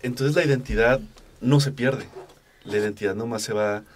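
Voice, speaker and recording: A man's voice: mid-range voice, normal speed, a little hiss or hum. A man co-hosting a podcast who speaks in a reflective way and sums up what the guest has said. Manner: reflective, summarizes the guest's points. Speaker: podcast co-host. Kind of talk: casual conversation.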